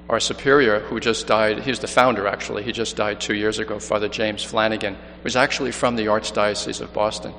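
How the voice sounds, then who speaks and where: A man's voice: fairly low pitch, a bit bright. A man from New York City, a missionary priest, reading the Gospel and then giving an earnest mission appeal homily with frequent restarts. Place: large church. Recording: long church reverb, faint hum.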